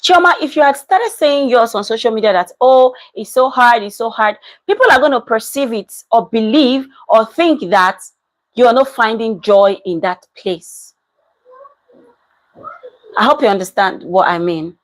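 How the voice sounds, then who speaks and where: A woman talks through a casual reaction commentary, leaning hard on her points and going back to them. Her voice sits on the higher side. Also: normal speed, low-quality recording. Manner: animated, emphatic, repeats herself. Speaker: commentary vlogger.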